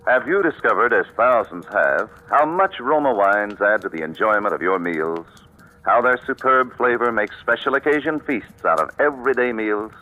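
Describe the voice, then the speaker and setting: A man's voice: mid-range voice, pushed and bright. An American man announcing a 1940s radio commercial with emphatic, polished salesmanship on a lo-fi vintage recording.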